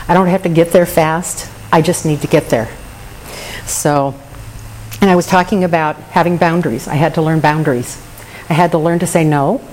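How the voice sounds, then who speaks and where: A woman's voice: fairly low pitch, a little mellow, a little hiss or hum, low-quality recording. Earnest woman sharing in recovery. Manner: candid, earnest, self-deprecating humor. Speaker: recovery meeting member. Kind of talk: personal recovery share.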